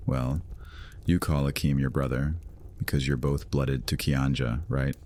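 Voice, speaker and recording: A man's voice: a bass voice, fairly even intonation, a little slow, darkish tone, heavily acted. A man voicing a cocky, teasing male vampire loner in a fantasy audio drama, with a sarcastic, casual manner.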